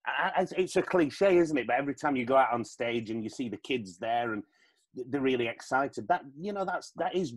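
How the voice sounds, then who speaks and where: A husky-voiced man from the stage world talks. He is chatty and casual, joking as he goes, at a middling pitch. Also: lively intonation, a little fast. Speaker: podcast guest, pantomime performer. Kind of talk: casual jokey chat.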